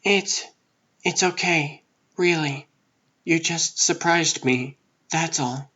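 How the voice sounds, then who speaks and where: A man's voice: a little high, takes it slowly, bright, forceful tone, slightly rough, dull audio, full character voice. A man voicing a guarded but friendly woman reporter in a comic book dub.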